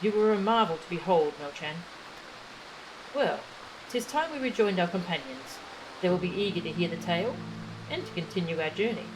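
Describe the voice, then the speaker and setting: Casting: woman as courteous, admiring warrior companion in a fantasy game, slightly low voice, formal phrasing. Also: expressive, moderate pace, noisy background, lightly acted. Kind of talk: reading game dialogue aloud. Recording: game music behind.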